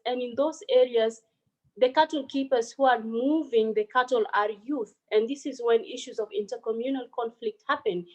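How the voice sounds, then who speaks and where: Woman, slightly high voice. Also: darkish tone, dull audio. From South Sudan. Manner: earnest, frequent fillers. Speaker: panelist. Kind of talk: online panel presentation. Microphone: phone.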